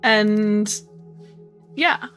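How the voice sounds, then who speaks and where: A woman's voice: medium pitch, extremely slow, a bit bright, soft background music. An American woman chatting casually on a live stream, rambling and hesitant with many restarts.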